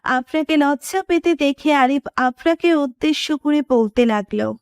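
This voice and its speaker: A woman narrates a romantic story from a script. Her voice is very high, and the recording is dry and close, with very little room sound. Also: measured pace, no room sound.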